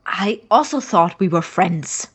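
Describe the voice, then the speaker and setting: An anxious young lab partner in an audio drama, speaking in an everyday, natural way at a middling pitch. The delivery is earnest and wary, with a sardonic edge. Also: lively intonation, a little slow, fairly bright tone, breathy edge. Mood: defensive, sardonic. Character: anxious, suspicious young roommate Christopher, grave-robbing lab partner.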